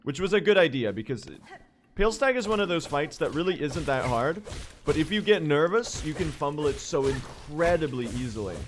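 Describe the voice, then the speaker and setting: A young man streaming speedruns, talking casually and excitably to his chat with a hoarse, worn-out voice and lots of rambling restarts. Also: on the high side, fairly quick, slight background noise. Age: young adult. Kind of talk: casual livestream chat to viewers.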